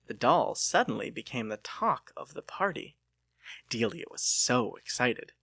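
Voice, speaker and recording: Animated male audiobook narrator, dry sound. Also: a little high, no echo. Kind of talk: dramatic scripted narration.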